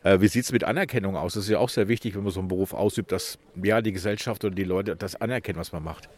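A man's voice: sits low, rapid-fire. A man reporting from a job fair, asking questions in a casual, chatty way. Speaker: radio reporter. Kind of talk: on-site radio reportage. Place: job fair at a vocational school.

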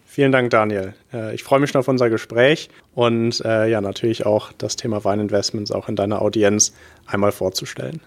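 A man's voice: fairly low pitch, steady tone, a little fast. A young man from England, born in Bristol, answering interview questions about wine investing in an earnest, hesitant way with many fillers. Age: young adult. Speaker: guest, wine investment manager.

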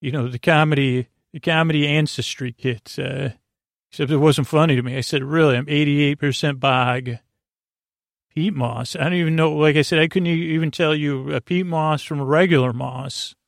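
A man hosting a bedtime podcast, rambling whimsically in a hesitant, halting way, with stammers and trailing tangents. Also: on the high side, steady tone, creaky, no echo, clean studio sound. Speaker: podcast host.

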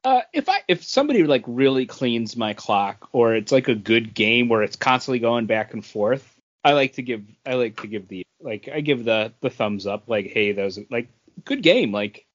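A man with a medium-pitched voice chats casually as a podcast co-host. His intonation swings a lot and he sounds earnest and emphatic. The sound is slightly muffled. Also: expressive, normal speed. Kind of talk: casual chat.

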